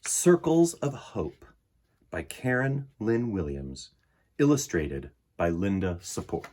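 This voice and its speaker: A man in his forties with a slightly husky voice, reading and presenting a children's picture book in an expressive, dramatic way. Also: on the low side. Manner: animated, dramatic, with deliberate pauses and emphasis. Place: small indoor room in front of bookshelves.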